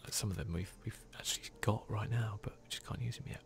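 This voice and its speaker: A man speaks in a hushed, often whispered voice with a creaky, gravelly edge. He is commenting on a video game as an ASMR host, drifting between soft talk and whispers close to the mic. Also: lowish voice, quick pace.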